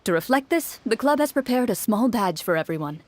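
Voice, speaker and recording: A woman voicing a composed young game master in a video game, measured and formal as she explains rules. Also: a little high, sing-song, very noisy. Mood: calm, courteous. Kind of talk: game voice acting.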